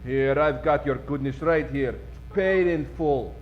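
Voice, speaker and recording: Slow-talking tough guy played by a man. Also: mid-range voice, muffled recording, slight background noise, natural voice. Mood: hustling, boastful. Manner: broken English, dropped articles, boastful. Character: stubborn Russian tough-guy smuggler, proud, broken English. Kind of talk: old-time radio comedy acting.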